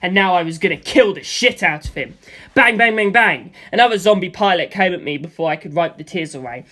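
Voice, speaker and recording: A narrator reading a first-person novel aloud in an expressive storytelling style, acting out the action with gusto. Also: medium pitch, a little fast. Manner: acts out sound effects with gusto.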